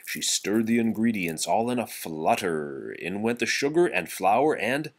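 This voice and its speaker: Low-voiced man, very animated storybook reader. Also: a little slow. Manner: theatrical, rhythmic phrasing. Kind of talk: expressive children's read-aloud of rhyming verse.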